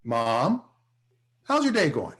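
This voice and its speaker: Man playing a very animated, hapless office salesman. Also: fairly high pitch, slow, exaggerated voice. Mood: placating. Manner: sarcastic, wheedling, hesitant. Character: self-important, hapless male office salesman. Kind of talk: sitcom table read. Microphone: video call.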